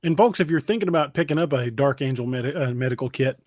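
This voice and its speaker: A man's voice: mid-range voice, fast talker, darkish tone, no room sound, clean studio sound. A middle-aged man hosting a casual livestream, talking in a folksy, earnest way. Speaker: podcast host.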